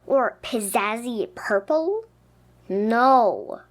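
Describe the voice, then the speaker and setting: A woman reading a children's picture book aloud expressively, with dramatic, emphatic pauses. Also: fairly high pitch, lively intonation. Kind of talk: expressive children's storybook narration. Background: music.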